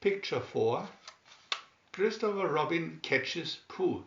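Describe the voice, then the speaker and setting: A man reading a children's story aloud in a deliberate, expressive way, with emphatic swoops on key words. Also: fairly high pitch. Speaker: storybook reader. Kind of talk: scripted children's story narration, read aloud slowly and expressively. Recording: dull, roomy home recording.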